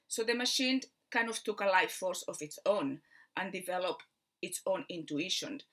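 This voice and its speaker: A woman with a Spanish accent speaks in a bright, clear tone, sitting a little low in her range. She talks like a mind coach giving a spiritual lesson, earnest and patient, pausing on fillers as she explains things step by step. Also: expressive. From Spain. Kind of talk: spiritual teaching talk.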